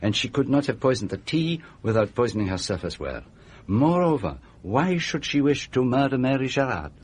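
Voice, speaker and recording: An actor playing a courteous, probing foreign detective in a radio drama, speaking formally and precisely with inverted phrasing. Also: male voice, medium pitch, sing-song, moderate pace, husky. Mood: measured, insistent.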